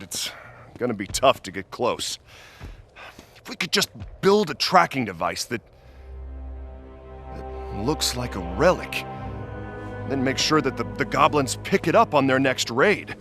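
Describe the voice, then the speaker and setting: A breezy, self-assured game adventurer with wry charm. His mid-pitched voice moves up and down a lot over faint music. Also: very animated, unhurried, long pauses, sharp tone. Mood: explaining. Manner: wry, casual. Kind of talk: video game cutscene acting.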